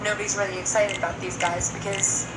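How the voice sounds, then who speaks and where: A woman's voice: medium pitch, flat delivery, fairly quick, heavy background noise. A woman chatting casually as a podcast co-host in a rambling, hedging way. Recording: roomy echo with loud music behind.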